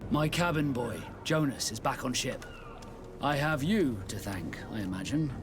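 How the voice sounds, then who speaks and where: A man acts as an honorable, reserved ship's captain in a fantasy game. He speaks in measured, laconic phrases at an average male pitch, with clear music underneath. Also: expressive, close to an everyday voice. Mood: reserved, grateful. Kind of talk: video game dialogue acting.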